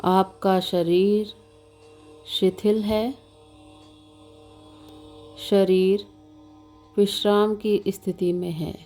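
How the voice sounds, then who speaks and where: A woman with a mellow, even voice guides a relaxation practice over music. She speaks calmly as a meditation instructor, unhurried and soothing, leaving long pauses between short instructions. Her intonation stays very flat and steady. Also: mid-range voice. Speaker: yoga nidra meditation instructor. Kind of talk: slow guided meditation narration.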